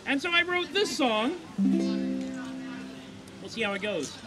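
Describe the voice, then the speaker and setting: High-voiced man joking on a stage. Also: very animated, rough recording. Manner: rambling, self-deprecating, quick asides. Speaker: comedy singer-songwriter. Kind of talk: live comedic stage banter between songs. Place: live stage show before an audience. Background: guitar playing.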